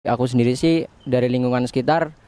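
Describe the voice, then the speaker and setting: Young male student interviewee, rapid speech, mellow timbre, dry close sound. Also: medium pitch, steady tone, no echo. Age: young adult. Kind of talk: casual street interview.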